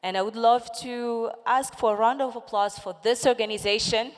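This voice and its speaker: A woman's voice: fairly high pitch, fairly even intonation, normal speed. A woman in her forties whose first language is Portuguese, giving an impassioned, rallying talk as a panelist, calling out questions to a conference audience. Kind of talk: motivational stage talk. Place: large conference hall with audience. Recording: echoey conference hall.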